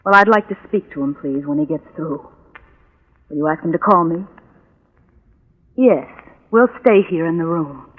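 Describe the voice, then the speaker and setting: A woman's voice: sits low, lively intonation, normal speed, pauses often, slight background noise. Forties radio melodrama: lovelorn, embittered woman, fixated and intense, muffled old broadcast sound. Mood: shaken, guarded. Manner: intense, fervent, brooding, breaking into repeats. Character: obsessive, lovelorn, bitter woman convinced of her willpower. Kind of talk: 1940s radio drama acting. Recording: dull vintage 1940s radio recording.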